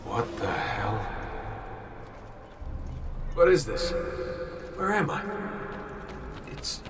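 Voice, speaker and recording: A man's voice: on the high side, sing-song, extremely slow, background noise, full character voice. A man playing a wry, questioning young everyman hero in a video game, speaking casually. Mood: bewildered. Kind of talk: video game cutscene dialogue. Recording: music behind the voice.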